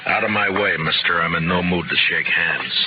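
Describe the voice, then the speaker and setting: Deep-voiced stern frontier marshal played by a man. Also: normal speed, loud music behind the voice, close to an everyday voice. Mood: angry. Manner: terse, authoritative, dry. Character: stern, grimly determined middle-aged frontier US marshal. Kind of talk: 1950s radio western acting and narration. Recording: muffled old radio recording.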